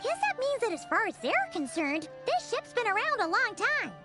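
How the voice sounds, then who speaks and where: Very squeaky little fairy companion. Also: female voice, very animated, normal speed, loud music behind the voice. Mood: chirpy, curious. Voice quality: squeaky, childlike. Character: small childlike floating fairy companion, chirpy and cheeky. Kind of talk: video game character acting.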